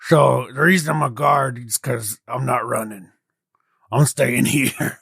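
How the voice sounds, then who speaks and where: A heavy, stubborn guard played by a man speaks with his jaw stuck out. He is flatly immovable, in a very dry recording. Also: mid-range voice, unhurried, creaky, slightly rough, dry recording, voice pushed down, full character voice. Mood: stubborn, firm. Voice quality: jutting-jaw delivery.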